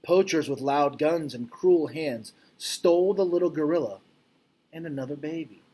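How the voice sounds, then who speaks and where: A man reading a picture book aloud to children like a teacher, expressive and deliberate, with informal explanatory asides. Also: fairly high pitch, slightly rough.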